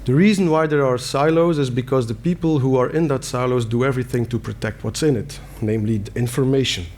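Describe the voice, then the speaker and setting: A man's voice: medium pitch, quick pace, a little mellow. Belgian man giving a conference talk. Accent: Belgian Dutch. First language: Dutch. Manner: earnest, plain wording with fillers and restarts. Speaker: conference speaker. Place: conference hall.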